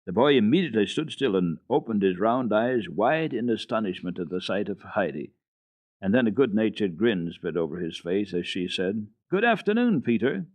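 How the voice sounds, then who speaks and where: A man's voice: on the low side, moderate pace, darkish tone, no echo. A man narrating a children's classic as a radio storyteller, in a dramatic reading style.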